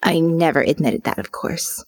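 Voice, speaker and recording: A woman reading an audio novel as a sardonic, restless young woman diarist, wry and confiding, with dramatic pauses. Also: low voice, lively intonation, creaky, exaggerated voice. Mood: wry, confessional. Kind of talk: dramatic first-person audio fiction narration.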